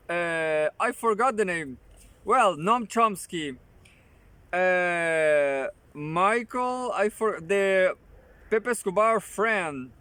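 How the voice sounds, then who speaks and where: Slow, high-voiced man reading with restarts. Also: pushed and bright, clear, resonant tone, no room sound. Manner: halting, with frequent restarts and joking asides. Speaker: channel host reading articles aloud. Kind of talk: casual commentary while reading an article.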